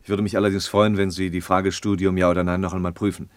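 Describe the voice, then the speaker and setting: A man playing an earnest, reserved colleague in a German radio play, speaking in a formal, measured, polite way. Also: sits low, flat delivery, dry recording, lightly acted.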